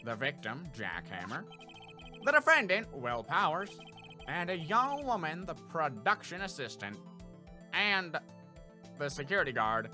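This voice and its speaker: A man voicing a gruff, folksy police detective from a video game, blustering through a case briefing. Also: a little high, huge pitch swings, measured pace, pushed and bright, faint music behind, heavily acted. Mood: matter-of-fact briefing. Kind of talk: video game character dub.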